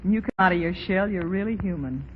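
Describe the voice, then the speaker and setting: A woman's voice: lowish voice, quick pace, a little hiss or hum, close to an everyday voice. A woman playing a shrewd, caring American cabaret boss in 1930s radio style, wry, slangy and familiar. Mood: concerned, teasing. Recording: dull lo-fi 1930s radio, faint music behind.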